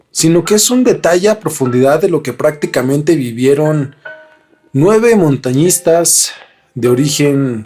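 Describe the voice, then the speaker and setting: A man hosts a podcast, reading scripted mystery narration with dramatic, deliberate phrasing. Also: fairly high pitch, darkish tone. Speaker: podcast host.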